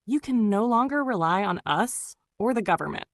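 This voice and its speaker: A woman's voice: medium pitch, animated, normal speed, slight background noise. A woman reading a scripted emergency alert, giving urgent, repetitive warnings. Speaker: emergency broadcaster.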